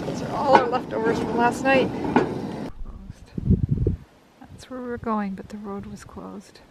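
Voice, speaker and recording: A woman's voice: a little high, normal speed, heavy background noise. A woman in her forties narrating a travel vlog in a casual, chatty way, speaking into a handheld camera. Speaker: travel vlogger. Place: outdoors at roadsides and beaches, or inside a car. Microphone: handheld camera at arm's length.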